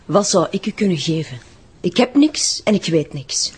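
A woman's voice: fairly low pitch, lively intonation, moderate pace, slightly rough. A woman playing a defiant, surly young drug addict with a Flemish accent in a crime drama. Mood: evasive, grudging. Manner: defiant, evasive. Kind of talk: crime audio drama acting.

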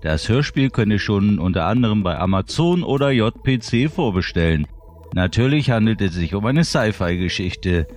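Guy reading radio news, lowish voice, really animated melody, bit of music under it. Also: normal speed. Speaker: news presenter of an audio drama radio programme. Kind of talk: scripted news narration.

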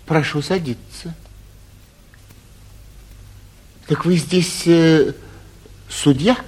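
A man playing a foppish, carefree young gentleman from the capital in a classic Russian stage comedy for radio, chatty and airy with a self-satisfied manner. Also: fairly high pitch, slow, slight background noise. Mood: casual, probing. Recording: muffled, husky 1949 radio theatre recording.

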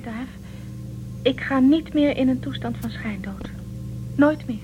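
High-voiced, emotional young woman space traveller. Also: measured pace, phone-quality sound, music in the background. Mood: fearful, tender. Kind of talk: Dutch radio drama acting.

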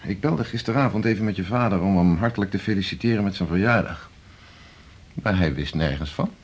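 An actor playing a domineering, condescending senior hospital doctor in a radio drama. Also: male voice, low-pitched, fairly quick. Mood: probing. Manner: pointed, condescending, commanding. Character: domineering, prying male senior hospital doctor, needy underneath. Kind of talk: 1980s Dutch radio drama acting.

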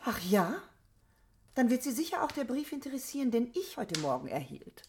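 An actress in a radio play voicing a haughty opera prima donna, grand and self-assured in manner. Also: female voice, fairly high pitch, big pitch swings, moderate pace, darkish tone, slight background noise. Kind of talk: German radio play acting.

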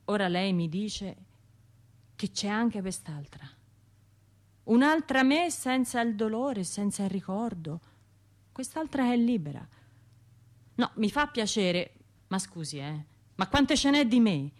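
Wounded tuscan woman survivor, wry and halting, confiding radio drama monologue, mid-pitched voice. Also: lively intonation. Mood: wry, puzzled. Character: wounded, wry woman bombing survivor, talking through her pain. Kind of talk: radio theatre monologue, conversational storytelling.

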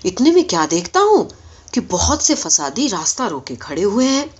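A woman narrates a story from a script with expressive delivery. Her pitch is mid-range and moves in lively swings. The recording is a little dull and lo-fi. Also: breathy edge. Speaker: audiobook reader.

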